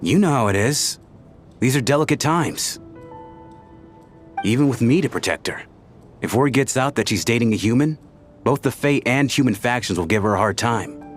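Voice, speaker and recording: A cagey, smitten bodyguard from a bygone age, acted out by a man for a video game. He confides hesitantly and dramatically in a mid-pitched voice, with music playing behind him. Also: lively intonation, normal speed, long pauses, close to an everyday voice. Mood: hesitant, earnest. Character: lovestruck, cagey bodyguard man from centuries past.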